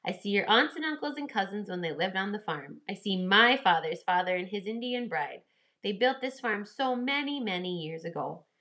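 A wistful old grandmother from a children's storybook, read by a woman. She speaks with folksy affection about family and home, and the voice is bright and clear-edged. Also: medium pitch, lively intonation, moderate pace. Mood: nostalgic.

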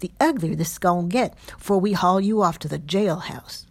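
A woman's voice: fairly low pitch, normal speed, breathy edge, no room sound, voice pushed up. Woman voicing a coarse drunk patroller. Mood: menacing. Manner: coarse, loud, drawling. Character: rough drunk male Southern slave patroller.

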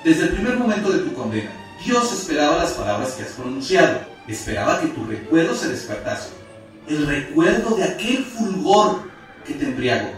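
A man's voice: fairly high pitch, sing-song, moderate pace. A man acting as God's angelic messenger in a radio play, solemn and pleading, with declamatory, preaching speech. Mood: grave, persuasive. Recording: very echoey, distant, music underneath.

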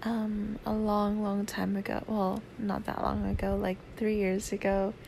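A young woman hosting her first solo podcast, talking casually in a soothing, soft-spoken, rambling way. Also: medium pitch, completely flat delivery, unhurried, gravelly, slight background noise. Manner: soft-spoken, rambling, laughs between thoughts. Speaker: podcast host.

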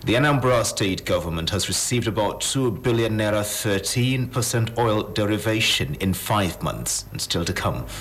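Deep-voiced Nigerian male newsreader. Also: expressive, normal speed, few pauses, a bit bright. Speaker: radio newsreader. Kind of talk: scripted news headlines.

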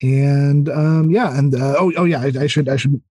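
Halting, enthusiastic man, very dry sound. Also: a little high, fairly even intonation, darkish tone, no echo. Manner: rambling, halting, repeats words and starts over. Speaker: podcast guest, audio drama creator. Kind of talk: casual interview chat.

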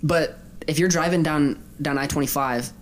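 A man's voice: on the high side, fairly even intonation, normal speed. A young man from Colorado telling a teasing story in casual chat with a friend, laughing often. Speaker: podcast co-host.

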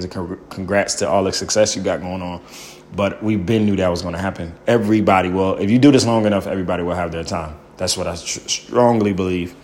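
Loud-voiced male stand-up storyteller. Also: low voice, a little hiss or hum. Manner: acts out quoted people in bursts. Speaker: stand-up comedian. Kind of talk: animated storytelling interview.

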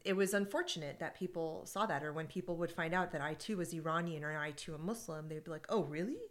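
Lively woman telling stories with laughs. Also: low voice, quick pace. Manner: animated storytelling with frequent laughs. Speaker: podcast co-host. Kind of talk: casual conversation about workplace groups.

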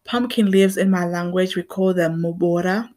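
A woman's voice: lowish voice, moderate pace, darkish tone. A young adult woman from Zimbabwe hosting her own podcast, talking in an earnest, reflective way. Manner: earnest and reflective, with small laughs. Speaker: podcast host. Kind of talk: personal commentary monologue.

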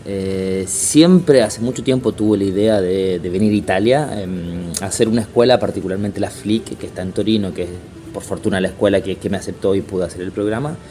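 Hesitant man in his thirties, low voice. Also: brisk, mellow, a little hiss or hum. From Chile. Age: 30s. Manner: hesitant, many fillers and restarts. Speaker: interview guest, circus artist. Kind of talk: casual interview.

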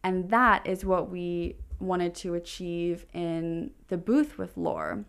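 Woman, gallery representative as interviewee. Slow, deliberate delivery, smooth clear tone, articulate art explainer. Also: fairly low pitch, big pitch swings, fairly bright tone.

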